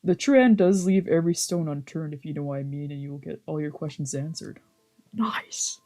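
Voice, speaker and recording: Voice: medium pitch, a little fast, darkish tone, soft background music. A streamer with a slangy, sweary way of talking, chatting casually and reading visual novel text aloud.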